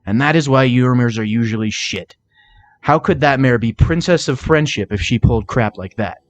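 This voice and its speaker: A man's voice: medium pitch, normal speed, lightly acted. A man voicing a cocky, impatient unicorn stallion thief from a cartoon fan story, brash and slangy. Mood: irritated. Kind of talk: cartoon fan fiction character voice.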